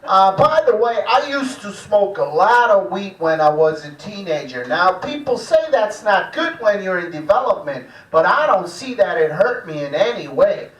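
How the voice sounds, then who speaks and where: A man's voice: sits high, sing-song, normal speed, few pauses. A man from Germany, performing a live stand-up comedy routine. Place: small live comedy room at a cafe. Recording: echoey room, distant stage microphone.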